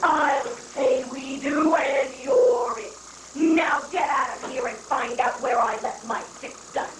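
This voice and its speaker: A blustering, whiny president from a satirical stage play, read aloud by a woman. The voice is high and full of cowboy bluster. It is caught from far off in a roomy, noisy space. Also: slow. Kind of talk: amateur dramatic reading of a satirical play.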